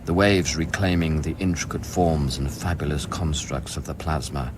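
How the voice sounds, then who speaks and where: Radio play lead: brooding, haunted scientist, extremely deep voice, measured and intimate. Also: male voice, background noise. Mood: haunted, reflective. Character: brooding, haunted man of science, tender yet irritable. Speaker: lead actor and first-person narrator. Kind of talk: radio play, intimate literary narration and dialogue.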